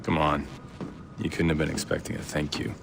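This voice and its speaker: An actor playing a gruff, seasoned covert operative who leads his team, terse and stern, in a game cutscene. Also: rumbling low, level tone, brisk, noisy background. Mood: confrontational. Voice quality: gravelly. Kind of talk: dramatic video game cutscene acting.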